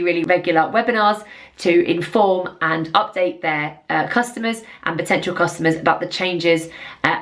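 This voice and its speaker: Female presenter, lowish pitch, semi-scripted software review, earnest and emphatic delivery. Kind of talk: semi-scripted explainer to camera.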